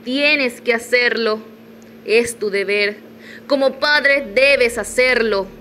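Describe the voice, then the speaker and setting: A woman's voice: on the high side, slow, sharp tone, slight background noise. A woman playing an imperious, desperate mother in a melodramatic radio soap opera. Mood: desperate, pleading. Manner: haughty, melodramatic. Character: imperious, desperate mother confronting her former lover.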